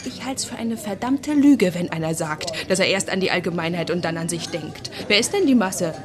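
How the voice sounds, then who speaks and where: A woman's voice: fairly low pitch, lively intonation, normal speed, over music, close to an everyday voice. An actress playing a headstrong, self-reliant woman of twenty-five in a radio play, earnest and emphatic. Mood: defiant.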